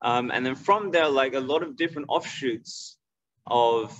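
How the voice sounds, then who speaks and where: A middle-aged man giving an informal online lecture as an instructor, explaining in a halting way and often checking in with the listener. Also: medium pitch, fairly bright tone. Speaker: martial arts instructor and school owner. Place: indoor room, on a video call. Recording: dull, lo-fi video call audio.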